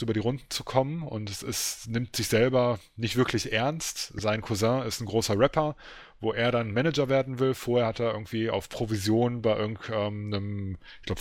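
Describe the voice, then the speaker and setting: A man's voice: fairly low pitch, dry recording, clean studio sound. A man talking in a casual, colloquial and slangy way, like a podcast co-host recommending something.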